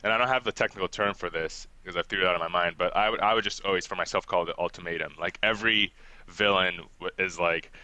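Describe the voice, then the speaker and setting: A young man who grew up in Ghana, talking casually as a podcast guest, relaxed and chatty and quick to laugh. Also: sits low, dry recording. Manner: relaxed and chatty, laughs often, many "like" fillers. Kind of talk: casual interview talk.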